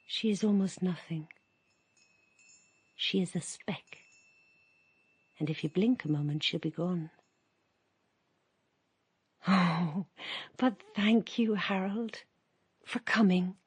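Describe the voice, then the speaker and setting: Woman narrator, quiet lyrical reading, slow, breathy, dry close sound. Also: fairly low pitch, no room sound. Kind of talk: scripted radio drama narration.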